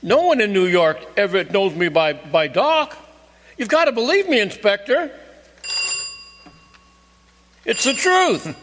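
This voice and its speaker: Man performing defensive husband, radio mystery, high voice, very animated intonation, halting, earnest. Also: a little hiss or hum. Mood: defensive, pleading. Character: nervous, defensive husband under police suspicion. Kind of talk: old-time radio mystery acting. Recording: echoey hall stage recording.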